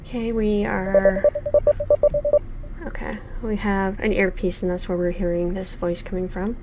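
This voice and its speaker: A woman's voice: mid-range voice, level tone, measured pace, background noise. A woman in her forties giving thoughtful, hesitant let's play commentary with many fillers while reviewing a game. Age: 40s.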